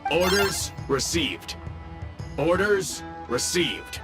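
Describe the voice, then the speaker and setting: A man voicing an emotionless robot in a video game, announcing in a flat, repetitive way. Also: on the high side, drawn-out words, loud music behind the voice. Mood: flat, obedient. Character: emotionless robot obeying a crisis control switch. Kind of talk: scripted video game voice acting.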